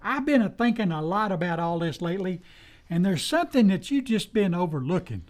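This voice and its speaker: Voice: mid-range voice, very animated, brisk, darkish tone, lightly acted. An earnest, folksy sidekick from a radio western, acted out by a performer. The American voice rises and falls a great deal, pleading urgently in plain country dialect. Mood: pleading, insistent. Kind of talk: old-time radio western acting.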